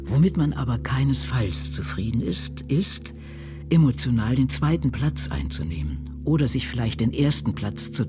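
Brooding novelist, expressively intoned. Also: male voice, mid-range voice. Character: brooding, anguished novelist confiding in a diary. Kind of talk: intimate literary radio drama monologue.

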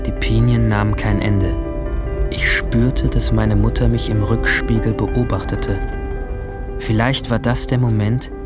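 A young male actor plays a troubled teenage boy telling his own story in a radio play. The voice is low, restrained and sombre. Loud music runs underneath the narration. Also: flat delivery, a little slow, lightly acted. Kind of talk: first-person radio drama narration.

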